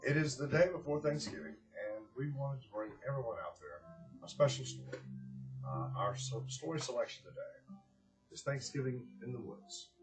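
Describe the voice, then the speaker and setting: A man's voice: mid-range voice, low-quality recording. Earnest man presenting, music behind. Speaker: director of a community organization, presenter. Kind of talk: heartfelt address and storybook read-aloud.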